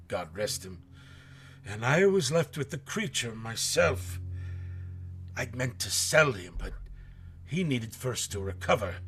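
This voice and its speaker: A man playing a nervous, rough but honest sailor in a radio drama, stammering and deferential. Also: medium pitch, a bit bright. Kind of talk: live radio drama acting.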